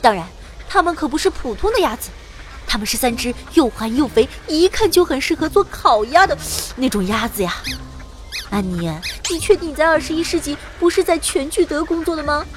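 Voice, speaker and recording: Perky high-voiced girl magician. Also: expressive, fairly quick, a little hiss or hum. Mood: playful, cheeky. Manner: theatrical, playful storytelling. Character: perky, self-admiring young girl magician telling her adventure. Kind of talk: first-person narration in fantasy audio drama.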